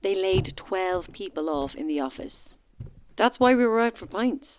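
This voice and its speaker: An actress playing a concerned, dryly teasing friend, heard through a telephone filter in an audio horror drama. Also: female voice, medium pitch, expressive, moderate pace. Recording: tinny, noisy phone line.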